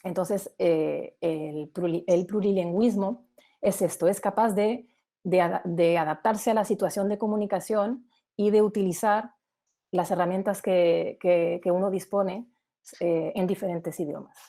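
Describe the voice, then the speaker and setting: A woman's voice: lowish voice, fairly even intonation, measured pace, warm tone. A woman giving an explanatory webinar presentation in a didactic way, explaining with examples.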